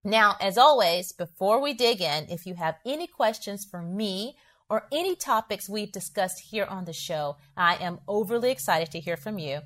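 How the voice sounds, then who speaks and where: A woman's voice: medium pitch, animated, moderate pace, a bit bright. A woman hosting a podcast, reading an upbeat prepared welcome and introduction of her guest. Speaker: podcast host.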